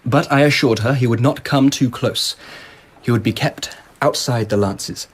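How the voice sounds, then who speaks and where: Brief: husky, indulgent emperor, played by a man, expansive and eager. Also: medium pitch, normal speed, natural voice. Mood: enthusiastic. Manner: expansive, enthusiastic anecdote-telling. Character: curious, indulgent male emperor of old Japan. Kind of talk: BBC radio drama acting.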